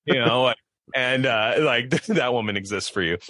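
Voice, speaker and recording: A man's voice: mid-range voice, moderate pace, bright, forceful tone, no room sound. A man in casual podcast chat, rambling in slangy, profane language and leaning heavily on "like", close to the microphone.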